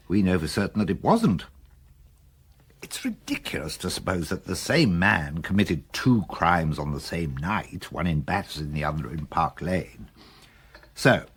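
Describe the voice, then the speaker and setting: An actor playing a level-headed, sceptical detective with an English accent, reasoning in a measured way in a British radio drama. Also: male voice, sits low, sing-song, breathy edge, exaggerated voice.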